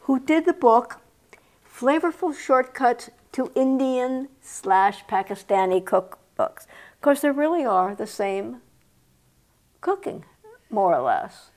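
A woman's voice: fairly high pitch, very animated, slow, darkish tone. A woman hosting a TV interview, asking friendly, chatty questions on a studio set with a lapel mic. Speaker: TV host. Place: studio set with armchairs and plants.

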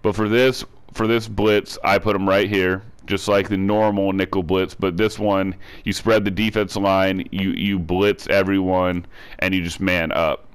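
A man's voice: low voice, level tone, unhurried, clean tone. A man with a cold and a stuffed-up nose, talking through a video game defense step by step in a casual voice-over, often repeating and restarting himself. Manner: repetitive step-by-step instruction with frequent restarts. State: congested from a cold. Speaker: gaming tutorial creator.

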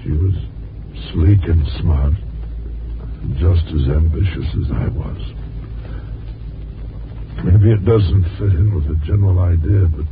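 An actor playing a bitter, weary, washed-up newspaperman on his deathbed, speaking weakly and haltingly in a 1950s radio drama. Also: deep voice. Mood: weary, wistful. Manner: halting, broken phrasing. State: weak, failing, halting. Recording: noisy, tinny 1950s radio recording.

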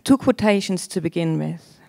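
A woman's voice: fairly low pitch, animated, normal speed, gravelly, mellow. A woman in her forties, a native German speaker with a German accent, giving an academic presentation into a handheld microphone on stage. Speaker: curator and theorist, panel speaker. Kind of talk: academic presentation, partly read from script. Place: auditorium stage with audience.

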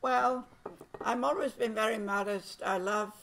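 A woman's voice: fairly high pitch, measured pace, vocal fry, noisy background. An older woman, a guest painter at a winery tasting, chatting modestly and self-deprecatingly about her watercolor labels. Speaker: guest artist, winery founder. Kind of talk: casual chat. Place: winery tasting room, indoors. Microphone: tabletop mic on stand.

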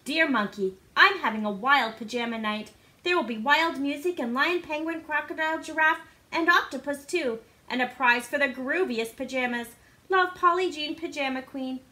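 A woman reading a storybook aloud to young children in an animated, sing-song way, often putting questions to the listeners. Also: high-pitched, moderate pace. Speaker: children's read-aloud presenter. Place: living room indoors. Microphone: device camera a short distance away.

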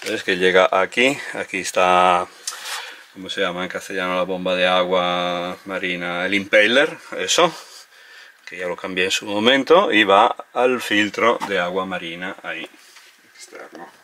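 A man's voice: sits low, a little slow, pushed and bright, a little hiss or hum. A man vlogging aboard his sailboat, explaining repairs in a chatty, self-deprecating way, a non-native speaker whose first language is Italian. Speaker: sailing vlogger. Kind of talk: casual explaining while working.

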